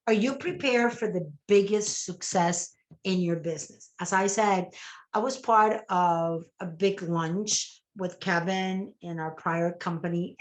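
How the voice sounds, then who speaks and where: A middle-aged woman with a Puerto Rican Spanish accent, whose first language is Spanish, giving an enthusiastic motivational talk to a business team and often adding "okay?". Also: medium pitch. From Puerto Rico. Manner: enthusiastic, repeats words and tags "okay?". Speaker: network marketing director.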